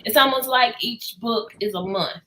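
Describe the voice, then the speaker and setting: A woman's voice: on the high side, moderate pace, bright, forceful tone, slight background noise, rough recording. A woman chatting casually as host of a book discussion, playful and slangy in her reactions. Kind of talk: casual book club chat.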